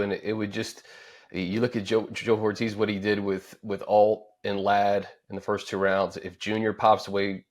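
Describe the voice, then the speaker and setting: A man with a lowish voice hosts a casual sports discussion. He is conversational and a little halting, restarting phrases along the way. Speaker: sports show host. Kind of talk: casual sports panel talk.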